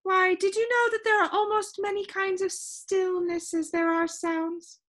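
Storybook soundkeeper, played by a woman: high, soft, singsong, wistful, genteel and dreamily chatty. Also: level tone, unhurried. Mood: dreamy, rapt. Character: gentle, dreamy, talkative woman soundkeeper who adores silence.

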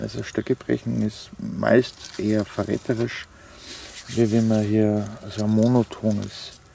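A man's voice: on the low side, gravelly, dark tone, a little hiss or hum. Man chatting at night camp. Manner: rambling, talks to his dog, fillers. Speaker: outdoor vlogger. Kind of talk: casual talk to camera. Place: forest camp at night, outdoors.

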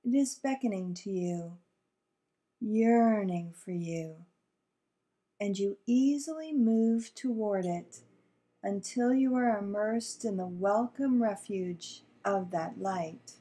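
A woman leading a guided visualization meditation in a soothing, gentle, deliberate manner with long pauses. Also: medium pitch.

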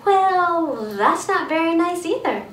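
Woman as a polite, high-voiced little ladybug. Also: lively intonation, slow, full character voice. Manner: gentle, reluctant, reasonable. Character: mild, polite, friendly little female ladybug. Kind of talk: children's storybook character voice. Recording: roomy, distant mic, faint noise.

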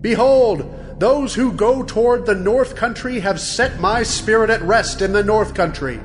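Solemn angel in deep reverb. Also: male voice, unhurried. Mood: commanding. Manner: solemn, declaiming. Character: solemn angel messenger of biblical visions. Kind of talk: dramatized audio Bible. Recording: long reverb, music behind.

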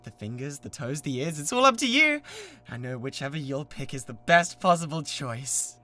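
Obsessive British yandere man, wildly swinging pitch, acted. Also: very animated, normal speed, bright, forceful tone. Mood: manic, eager. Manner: possessive, menacing, swinging from tender coaxing to frenzy. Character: obsessive, unhinged young male yandere kidnapper in love. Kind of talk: dramatic ASMR roleplay acting.

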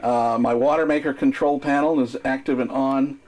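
A man's voice: medium pitch, normal speed. Older man rambling through a boat demo. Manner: relaxed and rambling, frequent uh fillers. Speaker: sailor showing his boat's radio gear. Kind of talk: casual hands-on demonstration. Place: boat cabin at a dock. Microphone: handheld camera mic.

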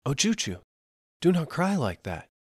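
A man reading aloud, voicing a kind old merchant who pleads softly with a weeping woman and later gasps in terror. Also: on the high side, big pitch swings, unhurried, slightly rough, dry recording, studio quality, pitched above the performer's own voice, exaggerated voice. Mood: pleading. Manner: pleading, then gasping in panic.

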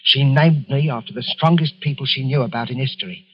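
A man's voice: mid-range voice, fairly quick, highs cut off, lightly acted. An actor playing a timid, lonely, unstable travelling salesman in an old radio drama, hesitant and deferential. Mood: confessional.

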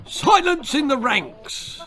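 A man's voice: very high voice, big pitch swings, slow, bright, forceful tone, a little hiss or hum, voice pushed up, heavily acted. A man voicing a bossy, menacing military turkey ghost in a storybook, barking and sneering his commands. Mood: barking, commanding. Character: bossy drill-sergeant turkey ghost, male, younger, menacing. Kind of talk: storybook character voice.

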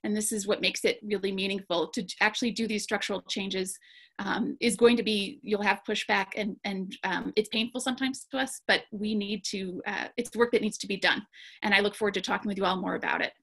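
A woman's voice: mid-range voice, fairly even intonation, fairly quick, a bit bright. A woman presenting her slides to an online conference panel. She speaks earnestly, with frequent fillers and restarts.

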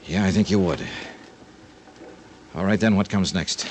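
An actor playing a tough, dogged American private investigator in a terse, matter-of-fact 1950s radio detective style. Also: male voice, low voice, unhurried, darkish tone, slight background noise. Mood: calm, challenging. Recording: old radio recording with music.

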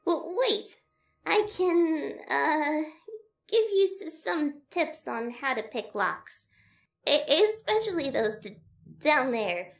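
A woman's voice: sits high, lively intonation, takes it slowly, creaky, full character voice. A woman voicing a nervous, apologetic lizard scientist in a fan audio drama, flustered and stuttering heavily. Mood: eager, flustered.

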